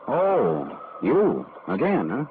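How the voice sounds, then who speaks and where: A man's voice: medium pitch, wildly swooping pitch, slow. An actor playing a wry, world-weary hardboiled private detective in old-time radio style, with sardonic, clipped tough-guy delivery. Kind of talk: old-time radio detective acting and first-person narration. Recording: muffled, noisy old radio broadcast recording.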